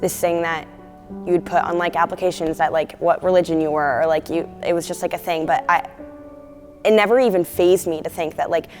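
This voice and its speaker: Young woman from connecticut, lowish flat voice, says like a lot, reverby with music. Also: a little fast. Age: young adult. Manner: reflective, many "like" fillers. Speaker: student giving testimony. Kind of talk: personal testimony.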